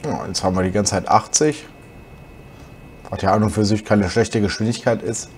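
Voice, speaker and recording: A young man with a slight cold, hoarse, chatting casually as he plays a truck driving game for his channel. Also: lowish voice, moderate pace, a little hiss or hum. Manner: relaxed, chatty, musing aloud while driving. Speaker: gaming channel host.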